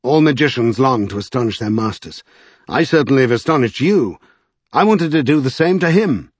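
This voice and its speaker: A man's voice: medium pitch, expressive, moderate pace, husky, no room sound, voice pushed up. A man voicing an irritable, passionate, self-pitying magician in an audiobook. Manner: irritable, demanding.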